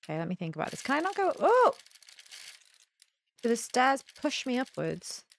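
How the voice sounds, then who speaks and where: A woman's voice: high-pitched, wildly swooping pitch, moderate pace, vocal fry, a little hiss or hum. A young adult woman giving casual let's-play commentary, thinking aloud in a playful, self-deprecating mutter. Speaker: gaming video host.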